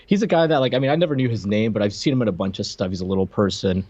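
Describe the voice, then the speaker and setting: A man co-hosting a podcast, talking casually about old television in a halting, conversational way. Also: fairly low pitch, darkish tone, no echo, highs cut off. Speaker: podcast co-host.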